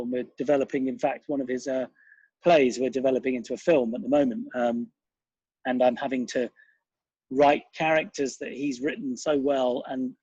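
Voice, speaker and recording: A man's voice: mid-range voice, a little mellow, slightly rough, no room sound, lo-fi sound. A middle-aged man, reflecting casually in an interview in a rambling, thoughtful, self-deprecating way. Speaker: interview guest, writer, director and actor.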